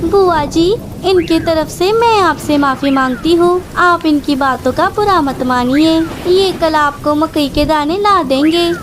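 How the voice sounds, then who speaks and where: A sly, bossy crow wife from a children's cartoon, acted by a woman. Her voice is extremely high and she talks slowly. Clear background noise sits under the dialogue. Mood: falsely sweet.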